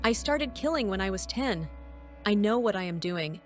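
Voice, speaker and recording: A woman's voice: mid-range voice, expressive, normal speed, soft background music, natural voice. A woman playing a cold, sardonic maid and secret killer in an audio drama, speaking in a cool, commanding way.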